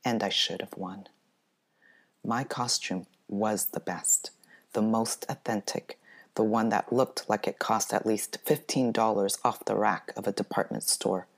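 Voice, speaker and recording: Very deep-voiced woman reading slowly. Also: steady tone, gravelly, slightly rough. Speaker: author reading own story. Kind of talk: dramatic scripted narration of a spooky story.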